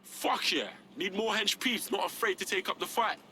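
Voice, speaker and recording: A man's voice: high-pitched, expressive, moderate pace, pushed and bright, faint music behind, heavily acted. An actor playing a cocky, streetwise young male rebel with a London accent and a brash, slangy manner. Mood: brash, urgent.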